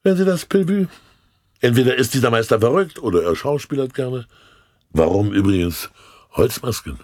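A man's voice: mid-range voice, animated, moderate pace, a little mellow, no room sound, studio quality, lightly acted. An actor playing a sly, condescending state official in a German radio play, suave and mockingly polite as he questions people. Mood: mocking interrogation.

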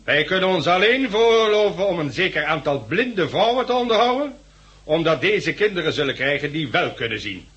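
An actor playing a stern community leader in a 1960s Dutch radio drama, lecturing in formal, emphatic sentences. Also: male voice, on the high side, animated, a little fast, fairly bright tone, highs cut off. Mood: solemn, commanding. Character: stern male leader addressing survivors of a catastrophe.

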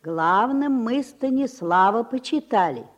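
An actress playing a small, wizened old village woman in a radio drama, with unhurried, folksy rural speech. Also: on the high side, expressive. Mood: matter-of-fact, folksy. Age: older. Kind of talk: Soviet radio drama acting. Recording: dull, lo-fi 1970s radio recording.

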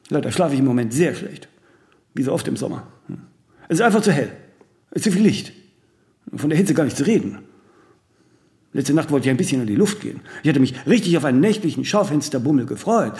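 An actor playing a vain, ageing celebrity in a radio play, imperious, aloof and rambling in aphorisms with little tag questions. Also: male voice, on the high side, a little mellow. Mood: haughty, complaining. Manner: haughty, rambling, aphoristic, with clipped tag questions and self-corrections. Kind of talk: radio play monologue in dialogue.

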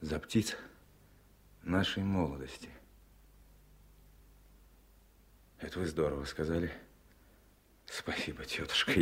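A gravely ill man, wry and tender in the face of death. His lowish voice moves expressively through drawn-out phrases, in the manner of a seventies Soviet radio play. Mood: grateful, tender. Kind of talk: 1970s Soviet radio drama acting.

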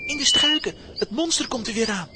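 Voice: medium pitch, expressive, fairly quick, very noisy, lightly acted. An actor voicing a timid, anxious young boy in a children's audio play. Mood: urgent.

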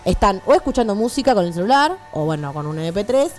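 A young Argentine woman co-hosting a casual radio show. She talks very quickly in a mid-pitched voice and argues her opinions freely, with music behind her. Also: big pitch swings, a little mellow. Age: 20s. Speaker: radio show co-host and columnist.

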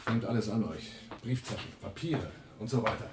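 An actor in a German radio drama playing a cool-headed, pragmatic leader of a crime crew, terse, matter-of-fact and commanding. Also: male voice, on the low side, animated, moderate pace, roomy sound, far from the mic, very noisy, natural voice. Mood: matter-of-fact, controlled.

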